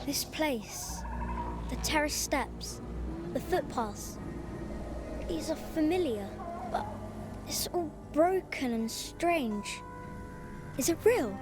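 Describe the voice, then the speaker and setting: An actress playing a gentle, uneasy young girl lost in a strange place, hesitant and wondering, in a video game. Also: high voice, lively intonation, slow, long pauses. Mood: confused, uneasy. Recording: loud music under the voice.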